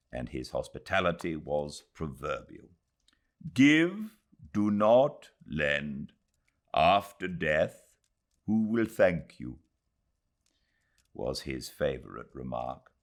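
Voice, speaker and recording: A British man narrating a classic novel in a measured, expressive storytelling style. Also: low-pitched. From Britain. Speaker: audiobook narrator. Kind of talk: scripted literary narration, storytelling.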